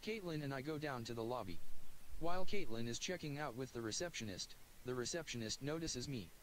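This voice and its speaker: A man's voice: mid-range voice, animated, a little fast, fairly bright tone, a little hiss or hum, low-quality recording. Man reading a game story aloud. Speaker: gaming YouTuber. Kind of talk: reading a visual novel aloud.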